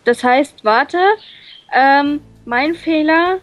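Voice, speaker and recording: A woman reading a visual novel aloud and translating it on the fly, halting and full of fillers. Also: sits high. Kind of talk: casual let's-play reading.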